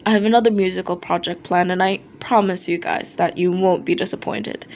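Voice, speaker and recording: A woman's voice: fairly low pitch, fairly even intonation, fairly quick, vocal fry, darkish tone. A woman voicing a blunt, foul-mouthed but apologetic male rock singer in a fan fiction reading. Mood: earnest. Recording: noticeable background noise.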